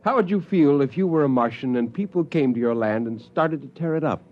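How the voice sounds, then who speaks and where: An actor playing a quiet, intense male spaceman in a 1950s radio drama, measured and grave. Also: medium pitch, animated, dull audio, close to an everyday voice. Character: brooding, idealistic male spaceman Spender.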